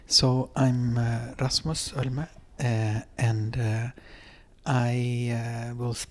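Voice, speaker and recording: A man's voice: mid-range voice, little pitch movement, very slow. A middle-aged man from Sweden with a Swedish accent, a dance professor talking as a guest in an interview, hesitant and full of fillers as he gathers his thoughts. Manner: hesitant, many fillers and restarts. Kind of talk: conversational interview. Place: apartment.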